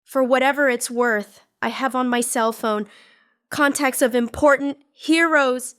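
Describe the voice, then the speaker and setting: A woman's voice: high-pitched, steady tone, measured pace, fairly bright tone, clear, resonant tone, dry recording, studio quality. A woman voicing a bitter young female villain recruit, narrating her painful past in a scripted comic dub. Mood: resolute. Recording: music under the voice. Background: background music.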